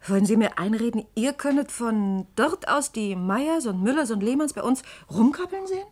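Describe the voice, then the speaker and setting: An actress playing a sceptical, curious radio reporter in a radio play, direct and probing with wry teasing. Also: female voice, a little high, animated, moderate pace, darkish tone. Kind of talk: German radio play acting.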